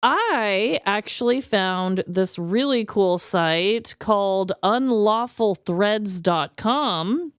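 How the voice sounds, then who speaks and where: A woman co-hosts a podcast with casual banter and expressive reactions. Her voice is smooth and very lively in pitch, and she takes her time as she talks. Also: drawn-out words, few pauses, no echo, clean studio sound. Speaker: podcast co-host.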